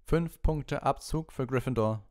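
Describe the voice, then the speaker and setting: A man puts on a strict, spiteful schoolmaster for an audiobook. The voice is lifted well above a natural low register and pushed louder, giving deliberate, commanding orders with a cold sneer. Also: close to an everyday voice. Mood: stern, sneering. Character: stern, spiteful male potions teacher, coldly sneering.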